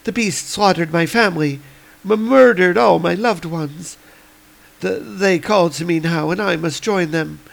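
A man's voice: high-pitched, unhurried, creaky, pitched above the performer's own voice, poor audio. A man voicing a dying young Viking woman who pleads and stammers. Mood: pleading.

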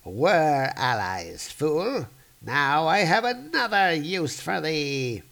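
A man plays a villainous comic-book trickster god who savours every word at a very slow pace. The delivery is theatrical and swoops with spite, in grand archaic phrasing. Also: on the high side, very animated, creaky, a bit bright, pitched above the performer's own voice, exaggerated voice. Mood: scornful.

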